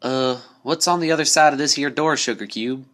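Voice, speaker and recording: A man's voice: medium pitch, a little fast, fairly bright tone, voice pushed up, natural voice. A man voicing a folksy, plain-spoken young female farm pony in a story reading. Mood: wary.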